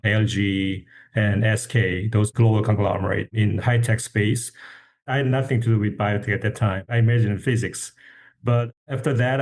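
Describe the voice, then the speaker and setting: A man with a fairly low voice and a Korean accent. He is an executive guest on a podcast, explaining his background in an interview style. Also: darkish tone. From South Korea. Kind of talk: interview self-introduction.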